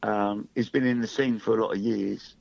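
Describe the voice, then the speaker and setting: A man's voice: on the low side, steady tone, moderate pace, gravelly, a little mellow, highs cut off. A middle-aged man, a singer-songwriter guest, chatting casually in a radio studio interview.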